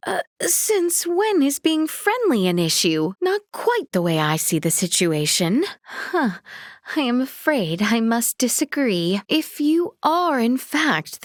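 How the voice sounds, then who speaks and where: Courteous high-voiced young princess, lively intonation. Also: female voice, no echo, studio quality. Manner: formal, polite, precisely worded speech. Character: young noble princess, earnest, courteous and dignified. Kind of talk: video game voice acting.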